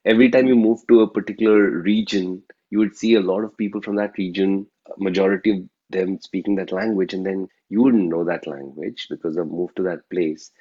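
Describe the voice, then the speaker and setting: Low husky Indian-accented man. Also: steady tone, brisk, hardly any pauses, dark tone, highs cut off. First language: Telugu. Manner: reflective, often ends phrases with a questioning "right?". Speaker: guest sharing his life story. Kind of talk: reflective personal storytelling.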